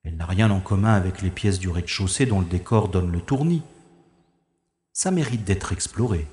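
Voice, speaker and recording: A man's voice: sits low, expressive, normal speed, darkish tone. An actor playing a wry male secret agent who narrates his own sneaking through a manor in a sci-fi audio drama. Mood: wary. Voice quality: dry, ironic inner monologue. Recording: echoey room with long reverb.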